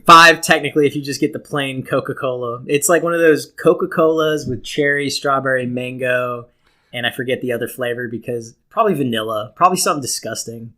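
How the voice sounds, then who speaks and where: A man's voice: mid-range voice, fairly quick, bright, forceful tone. A man co-hosting a podcast with casual comedic banter and crude, profane joking. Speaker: podcast co-host.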